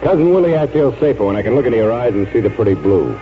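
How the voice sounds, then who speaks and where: Guy acting bossy fed-up cousin, scolding, pitch jumps around, muffled old radio play. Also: expressive, very fast, soft background music, natural voice. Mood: wary, warning. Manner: wary, bossy, exasperated. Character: exasperated, scolding male cousin of an old colonel. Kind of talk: 1940s radio drama acting. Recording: dull, noisy vintage radio broadcast.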